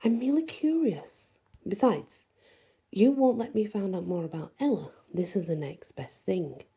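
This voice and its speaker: A woman's voice: mid-range voice, animated, moderate pace, creaky, mellow, slightly rough, exaggerated voice. A woman voicing a cocky, mischievous young male spellcaster in a fantasy story, with a teasing, flippant delivery. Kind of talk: fantasy audiobook dialogue.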